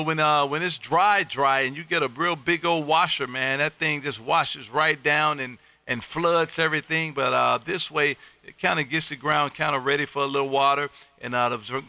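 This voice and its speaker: American male ham radio host, folksy and effusive, very bright forceful tone with some gravel. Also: mid-range voice, steady tone, normal speed, hardly any pauses. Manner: folksy, effusive, peppers talk with friendly address. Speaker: amateur radio operator and livestream host. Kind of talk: casual ham radio chat.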